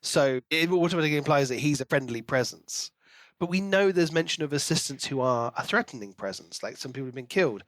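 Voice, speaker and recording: A man's voice: a little high, expressive, fast talker, fairly bright tone, no room sound. A man co-hosting a podcast, chatting casually and enthusiastically about a book. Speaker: podcast co-host.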